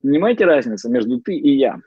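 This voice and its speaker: A middle-aged man giving an informal lecture as a psychologist, mixing in personal stories and pausing often on fillers and restarts. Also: medium pitch, sing-song, dark tone, breathy edge, dry recording. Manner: hesitant, many fillers and restarts. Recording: dull lo-fi dry sound.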